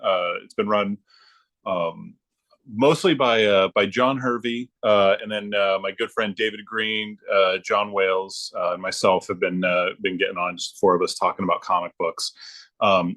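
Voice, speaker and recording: A middle-aged American man with a lowish voice chats as a podcast guest. His delivery is easygoing and halting, full of small hesitations. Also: fairly bright tone. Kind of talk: casual chat.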